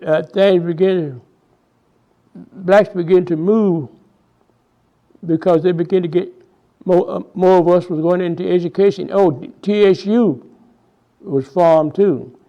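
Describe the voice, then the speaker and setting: A man in his nineties with a Texas Southern accent speaks very slowly in a slightly raised voice. He stops and restarts often as he remembers. The recording is dull and lo-fi. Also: high-pitched, fairly even intonation. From Houston, Texas. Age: 90s. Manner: halting, many repeated words and restarts. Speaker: interviewee, oral history. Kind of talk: reminiscing oral history interview.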